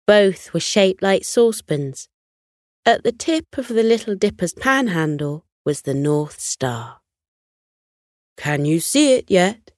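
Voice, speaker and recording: Woman, children's bedtime narrator, lowish pitch, slow gentle pacing, soothing, scripted storytelling. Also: no echo, clean studio sound.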